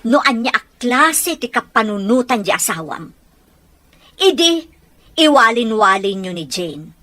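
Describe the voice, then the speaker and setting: A woman's voice: on the high side, sing-song, measured pace, sharp tone, full character voice. An actress playing a fierce, protective foster mother who scolds and confronts in a radio drama. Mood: accusing. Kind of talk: Ilocano radio drama acting.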